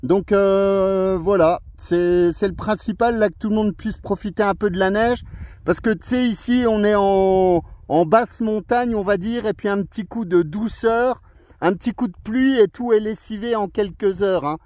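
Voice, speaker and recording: High-voiced man chatting on radio. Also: normal speed, a little mellow, no room sound. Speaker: amateur radio operator. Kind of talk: casual radio contact chat.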